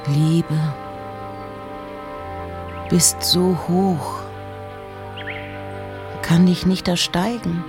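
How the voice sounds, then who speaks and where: A woman giving a measured, deliberate literary reading of poems and letters, with pauses. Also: fairly low pitch, steady tone, music in the background. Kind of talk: literary recitation.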